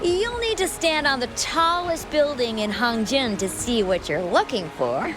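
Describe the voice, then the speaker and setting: Eager, high-voiced starstruck guide writer. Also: female voice, very animated, measured pace. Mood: helpful. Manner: eager, gushing, admiring. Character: earnest, starstruck female travel guide writer. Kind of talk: video game voice acting. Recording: music behind the voice.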